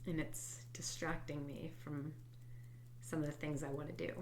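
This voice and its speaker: A woman with a low, congested voice talks gently through a self-help tapping routine, thinking out loud and sometimes sinking into quiet, whispered repetitions. Her nose sounds stuffed up from a head cold. A faint hum sits under the recording. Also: level tone, normal speed. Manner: soft, reflective, drifts into quiet whispered tapping phrases. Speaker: host and EFT tapping coach. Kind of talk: guided tapping session, spoken aloud.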